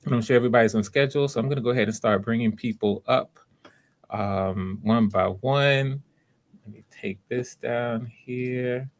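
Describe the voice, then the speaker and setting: A man's voice: mid-range voice, normal speed. A man hosting live voice auditions, talking casually and encouragingly to the people taking part. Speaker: host running voice auditions. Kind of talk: casual livestream talk.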